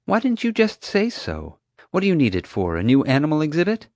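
A pointed, questioning mother with a raised voice that swings lively up and down, as a male narrator puts her on. The read is close and dry. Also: fairly high pitch, a little fast, no room sound, pitched above the performer's own voice. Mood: puzzled. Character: sharp-minded mother, adult woman.

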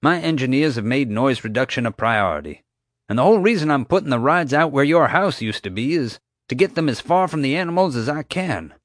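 A man's voice: mid-range voice, lively intonation, a little fast, no room sound, clean studio sound, pitched above the performer's own voice, lightly acted. A man voicing a blustering middle-aged male park owner who talks in a folksy, pushy, defensive way.

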